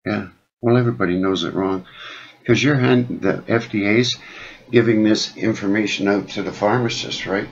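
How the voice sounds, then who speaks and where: Man, very deep voice, phone caller, insistent, rambling advocacy talk, dull low-fidelity recording. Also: steady tone, normal speed. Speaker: caller making a complaint.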